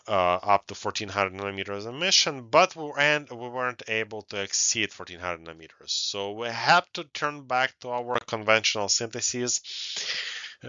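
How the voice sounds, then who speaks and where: Halting low-voiced man lecturing, dull headset sound. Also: sharp tone, smooth, no room sound. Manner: halting, frequent hesitations and pauses. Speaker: academic researcher presenting. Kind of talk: technical conference lecture over slides. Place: indoors, recorded online presentation. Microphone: headset on a video call. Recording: dull, band-limited headset audio.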